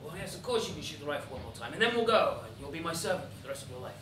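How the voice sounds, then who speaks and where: An impatient, commanding male devil from a folktale as a storyteller puts him on. The voice swings in pitch and sounds echoey, recorded from a distance. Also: big pitch swings, very fast, noisy background, lightly acted. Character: the devil, impatient man dressed in red.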